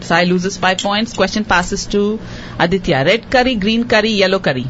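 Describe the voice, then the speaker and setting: A woman hosting a quiz show for children, repeating each question slowly and cheering the contestants on. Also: medium pitch, lo-fi sound, background noise. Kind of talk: hosting a radio quiz for kids.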